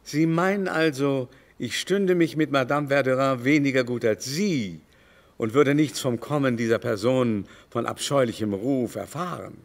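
An actor playing a fussy, imperious aging baron in a radio play, affected, theatrical and condescending. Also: male voice, mid-range voice, normal speed, close to an everyday voice. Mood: haughty, scornful.

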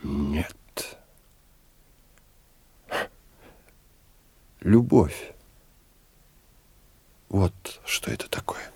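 Husky, desperate husband, wildly swinging intonation. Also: male voice, fairly low pitch, dry recording, full character voice. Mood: confessional. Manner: halting, repeats himself, impassioned. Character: desperate, bitter husband from the provinces, obsessively in love. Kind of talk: audio drama acting.

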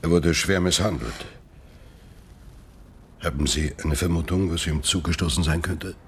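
A stern police detective in a radio drama. His voice sits deep, his is formal and matter-of-fact, and a faint ambience runs behind him. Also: unhurried, a little hiss or hum. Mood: businesslike, grave.

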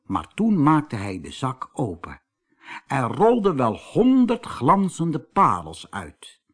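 Slow, very animated male storyteller. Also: mid-range voice, breathy edge. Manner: expressive storytelling. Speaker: audiobook narrator. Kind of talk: scripted fairy-tale narration for children.

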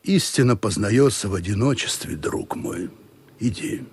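An actor playing an unflappable, commanding ship's captain in a radio play, gruff and philosophical, with a very husky voice and a measured, courteous manner. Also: male voice, lowish voice, expressive, no room sound. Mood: dry, courteous. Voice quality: very husky, raspy. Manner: measured, courteous, with sudden barked orders.

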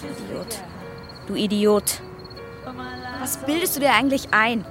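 A woman acting a demanding, sharp-tongued young girlfriend in an audio drama, direct and cutting. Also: fairly high pitch, unhurried. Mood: scornful, angry. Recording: music bed behind dialogue.

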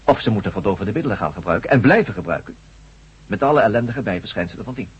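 Grave, husky surgeon professor, dull recording. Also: male voice, fairly low pitch, animated. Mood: grave, emphatic. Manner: measured, formal, lecturing. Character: grave, authoritative male brain-surgeon professor, formal and emphatic. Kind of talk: radio drama acting. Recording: dull lo-fi old radio recording.

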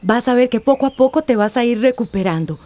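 Radio drama confidante, acted by a woman: caring Central American woman, highish, sympathetic. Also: expressive, fairly quick, mellow, lightly acted. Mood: reassuring. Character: caring, reassuring female relative of survivor.

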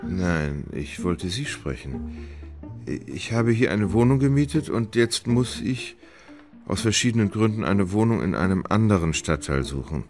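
An actor playing a dreamy, hesitant gentleman in love in a radio play, with a husky voice. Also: low-pitched, animated, unhurried, soft background music. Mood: polite, hesitant. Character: dreamy, hesitant, lovestruck gentleman who puts things off.

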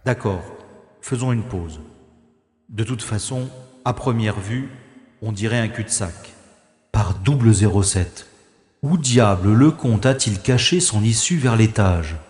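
A man's voice: fairly low pitch, animated, unhurried, a little mellow. A man playing a wry, gallant adventurer in an audio drama, speaking formally and thinking aloud. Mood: puzzled, searching. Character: wry, gallant male adventurer rescuing a young woman. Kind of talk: French-language audio drama acting with first-person narration. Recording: echoey long reverb, music behind.

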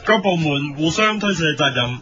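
A man's voice: on the high side, expressive, moderate pace, sharp tone. A man playing a solemn news commentator who reads absurd editorials with formal gravity in an audio comedy. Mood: mock-serious. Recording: muffled lo-fi audio with music behind.

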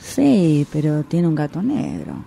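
A woman's voice: sits low, huge pitch swings, vocal fry, mellow, breathy edge, heavily acted. An actress with an Argentine Rioplatense accent playing a superstitious, needy, hard-luck woman in a radio play, telling her woes in a dramatic, aggrieved way. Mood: pleading. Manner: theatrical, drawn-out storytelling.

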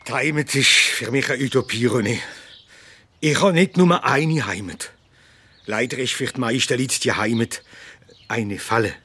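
An actor playing a grave, idealistic middle-aged Alsatian doctor with a husky voice, in a German radio drama. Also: male voice, mid-range voice, normal speed, bright, forceful tone. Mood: earnest.

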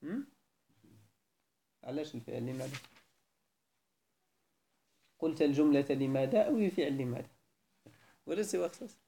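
A Moroccan teacher with a mellow, dark voice leads a grammar class, firing short questions at his students and correcting their answers. He slides between formal Arabic and his local dialect, with some words coming from farther off the mic. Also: fairly high pitch, normal speed, creaky, long pauses. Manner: probing, repeatedly asks why and corrects.

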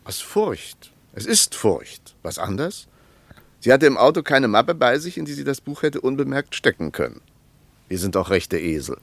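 A man speaks a reflective radio-play monologue in a creaky, mid-pitched voice. His intonation moves widely as he muses in halting phrases. The sound is dry. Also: very animated, no room sound.

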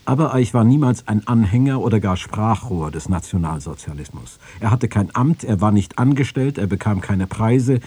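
A man's voice: on the low side, expressive, moderate pace, few pauses, dark tone, slightly rough. A man formally reading written quotations for a radio feature. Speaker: reader of quotations.

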